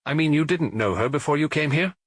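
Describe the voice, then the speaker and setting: A man's voice: fairly high pitch, animated, quick pace, breathy edge, no echo, pitched above the performer's own voice. A man playing a formal police inspector who questions witnesses politely but insistently, in an audiobook. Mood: official, insistent.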